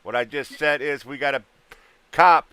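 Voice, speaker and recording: A man's voice: fairly high pitch, steady tone, normal speed, sharp tone, clear, resonant tone, no echo. A man in his thirties, hosting a livestream and bantering with guests in trucker slang. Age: 30s. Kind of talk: casual livestream chat.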